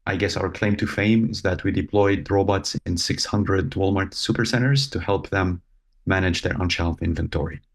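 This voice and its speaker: A man talks in a measured, thoughtful way, pausing often as he gathers his words. His voice sits on the low side with a gravelly, creaky edge. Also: steady tone. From Lebanon. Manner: measured, thoughtful, with frequent filler pauses. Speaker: guest, robotics company founder. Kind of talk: casual interview talk.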